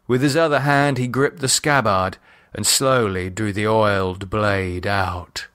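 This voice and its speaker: A man reading a horror novel as a dramatic audiobook, measured and expressive in his delivery. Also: fairly low pitch. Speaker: author and narrator reading own novel.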